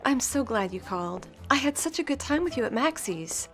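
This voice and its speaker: A woman's voice: high-pitched, animated, moderate pace, a bit bright, soft background music. An actress playing a chatty, worldly woman gossiping at a Hollywood party in an old-time radio drama. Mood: flirtatious, pleased. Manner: breezy, gossipy, knowing.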